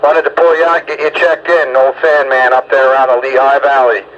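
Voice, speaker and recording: A man's voice: medium pitch, flat delivery, fairly quick. A man chatting casually over two-way radio as an operator checking in callers, with a folksy, rambling manner. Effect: two-way radio transmission. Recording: muffled CB radio with loud static.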